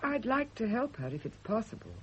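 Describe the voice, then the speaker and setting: An actress in a radio drama playing a composed, subtly manipulative young gentlewoman, gentle and solicitous in manner. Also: fairly high pitch, big pitch swings, fairly quick. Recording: dull, noisy old radio recording.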